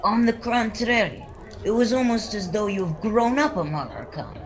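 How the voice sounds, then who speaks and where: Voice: mid-range voice, expressive, normal speed, natural voice. A young performer playing a proud, eager dwarf adventurer in a radio-style fantasy serial, with grandiose, formal speech. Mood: courtly. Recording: video-call recording with background voices.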